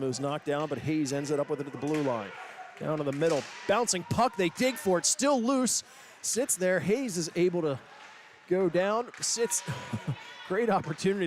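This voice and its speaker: A man calling hockey play-by-play, with quick, excited calls that rise to shouts. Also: on the high side, a little hiss or hum. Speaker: play-by-play announcer. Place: ice rink broadcast booth. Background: loud arena music.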